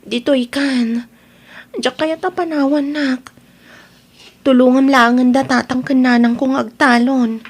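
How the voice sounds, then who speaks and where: A woman's voice: high-pitched, steady tone, unhurried. A woman playing an anxious, clinging nineteen-year-old in an Ilocano audio drama, pleading and coaxing with an Ilocano accent.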